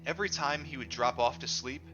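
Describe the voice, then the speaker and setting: Very bright, forceful male book narrator. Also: mid-range voice, fairly quick. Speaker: audiobook reader. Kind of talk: dramatic book narration in the first person. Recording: dull lo-fi recording with music behind. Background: music.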